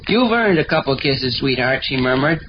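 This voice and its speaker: A man's voice: mid-range voice, expressive, normal speed, close to an everyday voice. A male actor playing an earnest, guileless young American son who loves adventure stories, in the style of 1940s radio comedy. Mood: earnest, dramatic. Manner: solemnly reading pulp fiction aloud, guileless. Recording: muffled old radio recording, faint noise.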